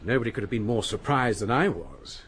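An actor playing a breezy, sardonic gentleman with an upper-class English accent in a radio drama. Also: lowish voice, lively intonation, a little fast, slight background noise. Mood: airy, candid.

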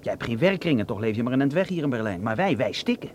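An actor playing a bitter, fervent young German nationalist who lectures in a radio drama. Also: male voice, mid-range voice, sing-song, fast, close to an everyday voice. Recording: noisy radio drama recording.

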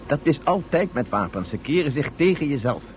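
A hasty father at a spaceship's controls in a radio play. His speech swings animatedly in pitch as he grumbles. A faint rumble runs behind him. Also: big pitch swings, a little fast. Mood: firm, reproving. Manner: grumbling, self-justifying. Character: hasty, impatient father, a male spaceship pilot. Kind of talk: Dutch science-fiction radio drama acting.

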